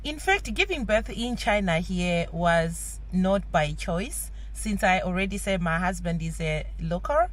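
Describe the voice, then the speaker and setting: A woman, a mother, talking thoughtfully to the camera, pausing and restarting as she goes. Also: fairly low pitch, lively intonation. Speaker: parent interviewee. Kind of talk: casual talk to camera.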